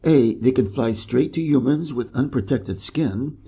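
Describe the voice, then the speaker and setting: A man from Wisconsin with an American Midwest accent, reading an article slowly and carefully for English learners. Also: mid-range voice, mellow. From Wisconsin, USA. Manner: measured and deliberate, clearly pronounced. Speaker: reader of an English learning channel. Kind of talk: slow, careful reading aloud.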